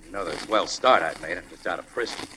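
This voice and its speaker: An actor playing a guarded, terse, hard-boiled ex-convict who is tough but polite, in 1940s radio style. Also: male voice, deep voice, fast talker, pushed and bright. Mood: subdued, respectful. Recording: very noisy old-time radio recording.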